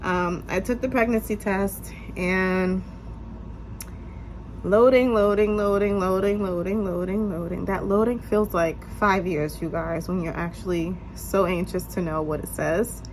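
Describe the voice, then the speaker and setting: A woman's voice: medium pitch, fairly even intonation, a little slow, low-quality recording. Young woman, congested, slightly nasal, chatty vlog story time, camera mic at home, faint background noise. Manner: chatty, lots of "um" and "you guys". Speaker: vlogger. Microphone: camera on tripod.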